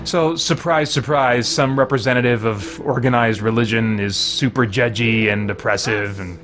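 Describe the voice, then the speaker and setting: A man's voice: medium pitch, steady tone, normal speed, over music. A man giving casual, candid first-impressions commentary on a video game, thinking aloud as he plays.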